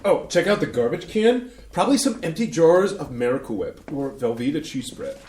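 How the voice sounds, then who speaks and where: A man reading the part of a smooth-talking editor in a staged play reading. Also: fairly high pitch, moderate pace. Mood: glib. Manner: smooth salesman patter, animated. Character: glib male cookbook editor, eager to please. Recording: roomy stage sound.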